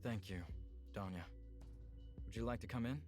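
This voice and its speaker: A man voicing a calm, earnest male visual novel character who speaks in a measured, polite, formal way. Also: on the low side, pitched below the performer's own voice, natural voice. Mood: polite, reserved. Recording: music clearly behind the voice. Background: background music.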